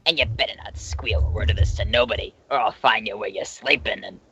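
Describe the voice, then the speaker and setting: A man voicing a menacing highwayman robber with a theatrical, threatening manner, on a noisy recording. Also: brisk, vocal fry, a bit bright, breathy edge, dull audio, heavily acted.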